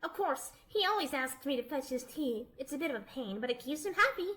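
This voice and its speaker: Woman voicing a very high, meek office worker. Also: expressive, a little fast, pushed and bright. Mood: dutiful. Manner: meek, hesitant, forced politeness. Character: polite, anxious young female red panda office worker. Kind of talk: animated comic dub acting.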